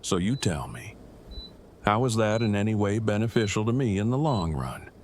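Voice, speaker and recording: A man's voice: fairly low pitch, very animated, normal speed, a little mellow, slight background noise. A man playing an awkward, self-protective survivor with stilted, formal, wordy speech in an audio drama. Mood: bitter, guarded. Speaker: voice actor.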